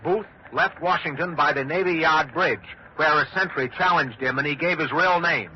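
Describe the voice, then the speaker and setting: An old, dull radio recording with faint noise. An actor plays an earnest male newsman with a slightly raised pitch. He delivers grave, emphatic bulletins in 1940s radio drama style. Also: moderate pace, lightly acted. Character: earnest male studio newsman handling breaking news. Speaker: radio news anchor.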